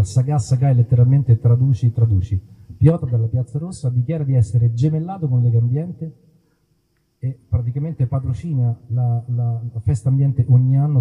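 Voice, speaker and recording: A man hosting a live outdoor show in an olive grove, talking to the crowd with wry, mock-solemn stage patter. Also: medium pitch. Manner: wry, mock-solemn, playfully self-interrupting. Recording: husky voice over a tinny, phone-like line.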